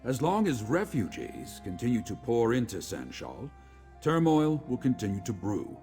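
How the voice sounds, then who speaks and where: An actor playing a weary, dutiful middle-aged legion commander in a video game, speaking in a measured, formal, authoritative way. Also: male voice, medium pitch, wildly swooping pitch, a little mellow, soft background music. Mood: dutiful, regretful. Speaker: game character, military commander. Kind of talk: scripted video game dialogue.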